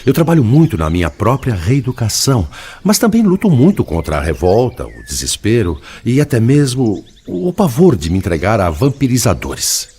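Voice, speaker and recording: A man's voice: medium pitch, huge pitch swings, normal speed, hardly any pauses, dark tone, husky. A Brazilian man playing a troubled, weary male spirit, formerly a lawyer, with formal, eloquent, dramatic delivery in scripted dialogue. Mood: troubled, disillusioned.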